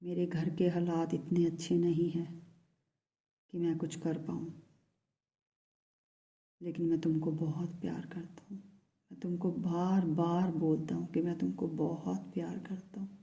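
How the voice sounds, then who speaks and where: A woman speaking in first person as a lovesick man who pleads tenderly with his beloved, in a soft, hushed voice that often drops to a whisper. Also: fairly low pitch, flat delivery, a little slow, creaky, long pauses. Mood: tender, pleading. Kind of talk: channelled love message in first person.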